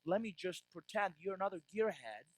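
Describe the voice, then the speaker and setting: A man's voice: high-pitched, expressive, fairly quick, no echo. A man from Iran giving a business keynote with jokes, often asking the audience "right?". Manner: tags lines with "right?" to the audience. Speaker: keynote speaker.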